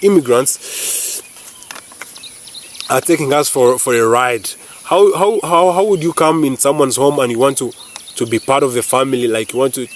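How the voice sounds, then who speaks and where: A man's voice: mid-range voice, a little hiss or hum. Rambling Ugandan man talking to viewers. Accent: Ugandan English. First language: not English. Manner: rambling, searches for words, repeats himself; talks straight to viewers, keeps saying "guys". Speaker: vlogger. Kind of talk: casual opinion vlog.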